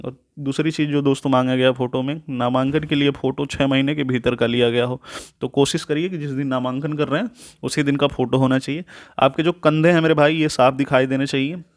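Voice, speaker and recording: A man's voice: mid-range voice, fairly even intonation, fairly quick. A man presents an informal step-by-step tutorial, instructive, reading out points, explaining them and often checking in with a short tag question.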